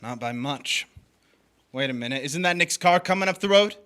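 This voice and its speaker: A man's voice: on the high side, expressive, moderate pace, bright, forceful tone, clear, resonant tone. A man playing a restless, smitten drifter in a crime drama, terse and uneasy. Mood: alarmed. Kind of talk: crime drama acting with first-person narration.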